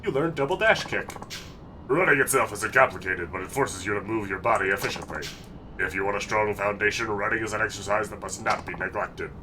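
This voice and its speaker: A gruff, boastful older drill instructor barks his orders, read out by a man with a stuffy cold. The voice sits low, and a steady noise hangs behind it. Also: a little fast, recorded from a distance. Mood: instructing. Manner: barking commands, boastful.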